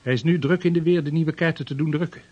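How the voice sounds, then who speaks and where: Husky, earnest veteran seafarer, played by a man. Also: a little high, steady tone, fast talker, darkish tone, lo-fi sound, close to an everyday voice. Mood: respectful, explanatory. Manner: formal, respectful, persuasive. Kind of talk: Dutch radio drama acting.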